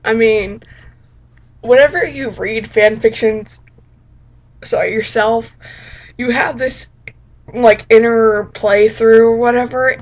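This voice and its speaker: Rambling casual woman vlogger. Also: a little high. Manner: rambling, informal, self-deprecating. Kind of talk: casual reaction vlog chat.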